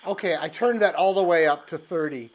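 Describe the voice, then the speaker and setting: A man in his fifties with a high-pitched voice talks casually to the camera as he reviews gear. He is plain-spoken and works things out aloud. There is some background noise in the recording. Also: fairly quick, rough recording. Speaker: product reviewer, vlogger. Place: living room and outdoors in a backyard by a canal. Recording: clear background noise, ambient sound.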